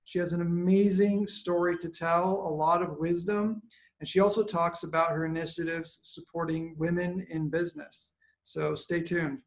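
A man's voice: a little high, little pitch movement, normal speed. A man introducing an upcoming podcast episode in an upbeat, promotional way. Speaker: podcast host.

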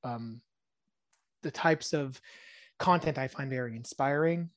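A man in his thirties, born in Israel and a native Hebrew speaker, talking as a podcast guest in a musing, soft-spoken way that often trails off into quiet asides. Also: mid-range voice, unhurried, no echo. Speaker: podcast guest, tabletop game designer. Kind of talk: casual interview chat.

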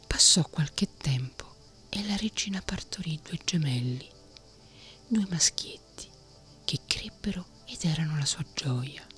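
Woman, whispered storytelling, low pitch, faint background music. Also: lively intonation, a little slow, a bit bright. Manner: whispering throughout. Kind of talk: whispered fairy-tale reading, ASMR.

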